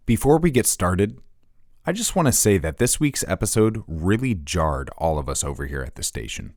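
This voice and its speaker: An actor playing an earnest male rock podcast host, narrating in a scripted documentary style with dramatic, deliberate phrasing. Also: deep voice, sing-song, darkish tone, heavily acted.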